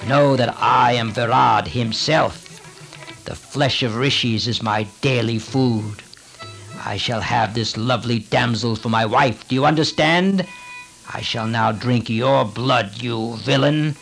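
A man voicing a gigantic man-eating demon in a storybook epic, roaring, boastful and threatening, with slow, taunting emphasis. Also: mid-range voice, creaky, fairly bright tone, soft background music, poor audio. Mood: menacing, scornful.

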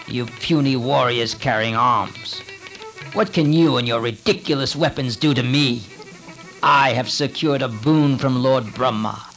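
A man voicing a gigantic man-eating demon in a storybook epic, roaring, boastful and threatening, with slow, taunting emphasis. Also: mid-range voice, vocal fry, fairly bright tone, rough recording.

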